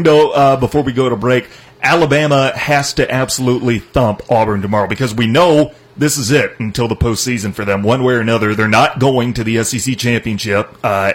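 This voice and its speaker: A man hosting a sports talk show, running through college football games in a brisk, knowledgeable way. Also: medium pitch, slightly rough. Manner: brisk and knowledgeable, runs the segment. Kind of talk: casual sports chat.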